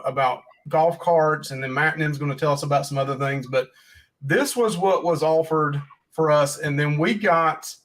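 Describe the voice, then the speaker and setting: A man's voice: on the high side, fairly bright tone, rough recording. A man hosting a casual livestream podcast, folksy and joking as he rambles through his notes. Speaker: podcast host.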